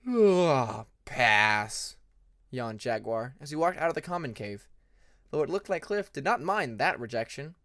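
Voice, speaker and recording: A narrator reading fan fiction aloud in an expressive audiobook style. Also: medium pitch, brisk.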